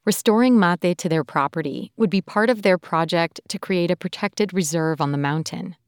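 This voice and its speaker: A woman hosting a podcast, reading a scripted story in a measured, clear storytelling voice. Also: lowish voice, dry recording, studio quality. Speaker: podcast host and narrator. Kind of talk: scripted narration.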